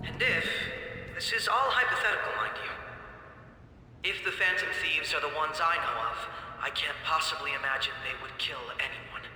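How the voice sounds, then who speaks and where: An actor playing a young male detective, composed, polite and slyly confident, speaking in measured, smoothly evasive game dialogue. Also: a little high, pushed and bright. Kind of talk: English game voice acting, public panel dialogue. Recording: echoey hall reverb with music behind.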